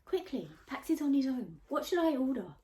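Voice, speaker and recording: Woman acting a gushing, high-pitched catty girl. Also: big pitch swings, normal speed, dark tone, reverberant, slight background noise. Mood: eager. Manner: sickly sweet, gushing, affected.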